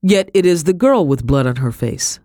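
A woman voicing a weary male colonel of colonial times, stiff and measured, in a storybook reading. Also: low voice, sing-song, warm tone, no echo, studio quality. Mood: formal.

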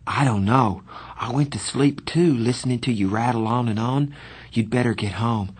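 A man's voice: medium pitch, moderate pace, husky, close to an everyday voice. A man voicing an easygoing teenage boy, a loyal friend in an audiobook, speaking casually. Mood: drowsy, casual.

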